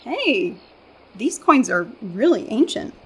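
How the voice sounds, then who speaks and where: A woman's voice: fairly high pitch, very animated, takes it slowly, slight background noise, heavily acted. A young woman with an American accent in a radio play, acting a sarcastic, exasperated hiker. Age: young adult. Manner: sarcastic, incredulous.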